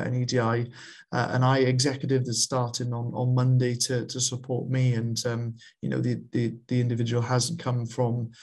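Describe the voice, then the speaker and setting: Flat, gravelly man on a video call. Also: medium pitch. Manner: hesitant, many fillers and restarts. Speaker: guest, equality and inclusion lead at a football association. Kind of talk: webinar interview answers. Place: home room on a video call.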